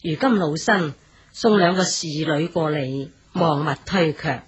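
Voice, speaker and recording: An actress playing a dignified, insistent old noblewoman in a period radio drama, speaking Hong Kong Cantonese in a formal, gently commanding way. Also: low-pitched, a little slow, lo-fi sound, crowd noise. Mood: gracious.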